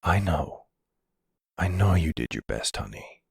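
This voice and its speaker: A man playing an older mafia boss, a protective and tender lover, speaking soothingly in an intimate ASMR boyfriend roleplay with a gravelly, breathy voice. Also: sits low, sing-song, no echo, studio quality, heavily acted. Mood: troubled, consoling. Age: middle-aged. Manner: soothing, unhurried, full of pet names.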